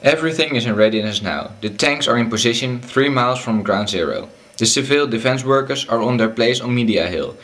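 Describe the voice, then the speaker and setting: Man acting formal mid-pitched radio news announcer. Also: a little fast, hardly any pauses, fairly bright tone, lightly acted. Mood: solemn. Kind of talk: news bulletin in a radio play.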